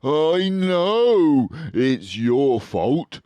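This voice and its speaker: A man voicing a frantic, starving, grumbling adult male badger in a children's storybook, excitable and full of despair. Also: on the high side, smooth, no echo, pitched above the performer's own voice, heavily acted. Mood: furious. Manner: excitable, despairing, drawn-out exclamations.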